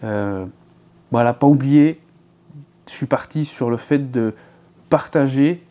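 Chatty man with a mellow voice. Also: mid-range voice, takes it slowly. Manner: chatty, rambling, many fillers and restarts. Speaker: fishing channel host. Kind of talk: casual chat to viewers. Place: living room at home, seated in an armchair.

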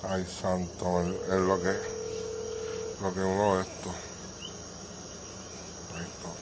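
Really deep guy, offhand side comments, crickets going pretty loud outside. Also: completely flat delivery, takes it slowly. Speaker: off-camera companion. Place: outdoor porch at night. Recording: noisy outdoor recording, chirping night insects.

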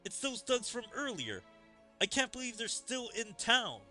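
A man's voice: very high-pitched, big pitch swings, normal speed, sharp tone, faint music behind, pitched above the performer's own voice, heavily acted. A man voicing a weary, anxious young male hero from a fantasy game, reading the dialogue aloud.

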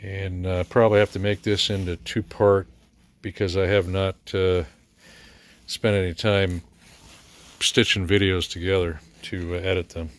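Slow-talking, low-voiced American man. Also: flat delivery. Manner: halting, unscripted, matter-of-fact. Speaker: speaker repair technician. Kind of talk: casual repair walkthrough, narrated while filming. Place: cluttered indoor repair workshop. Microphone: handheld camera or phone mic. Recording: faint background noise.

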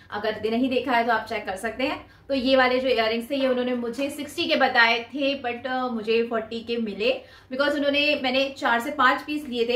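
A young woman chats casually through a shopping haul, holding each piece up to the camera as she talks. Her voice sits fairly high for a woman. The small indoor room gives it a noticeable echo. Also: a bit bright. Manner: chatty, showing items to camera with her hands. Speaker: vlogger.

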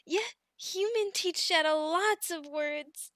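A woman playing a sweet, shy young adult female goblin in an ASMR roleplay, speaking in halting broken phrases and calling herself by name. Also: very high voice, fairly bright tone, dry recording, studio quality, heavily acted. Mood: proud, affectionate. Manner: halting broken speech, refers to herself in third person, giggly.

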